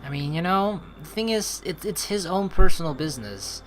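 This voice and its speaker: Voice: mid-range voice, expressive, normal speed, slight background noise, low-quality recording. A let's play streamer giving casual commentary, talking in a rambling, conversational way as if thinking aloud. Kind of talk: casual gameplay commentary mixed with reading game text aloud.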